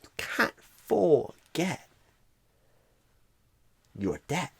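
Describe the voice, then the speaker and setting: A clueless, eccentric job recruiter, acted out by a man in an ASMR roleplay. His voice is husky and breathy, often sinking into a whisper, and it swings wildly up and down. He rambles slowly, breaking sentences in odd places. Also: fairly high pitch, wildly swooping pitch, a bit bright, dry recording, full character voice. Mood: earnest, halting. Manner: halting, oddly broken phrasing, rambling.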